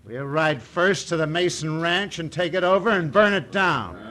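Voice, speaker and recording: Bright high-pitched bullying outlaw boss. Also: male voice, expressive, moderate pace. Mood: scornful, menacing. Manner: barking, scornful. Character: bullying, hot-tempered western gang boss villain. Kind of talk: old radio western acting.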